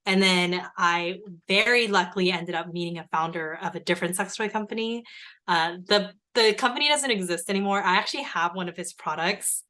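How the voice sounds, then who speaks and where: A woman's voice: fairly low pitch, a little fast, sharp tone. A young adult woman with Korean as her first language, talking as a podcast guest in a chatty way full of fillers. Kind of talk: casual podcast interview.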